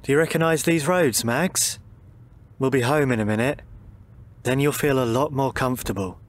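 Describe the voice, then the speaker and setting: An actor playing a gentle, anxious husband in a radio drama, soothing and pleading with some hesitation. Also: male voice, mid-range voice, normal speed, lightly acted. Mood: anxious, hopeful. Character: gentle, anxious husband coaxing his confused wife.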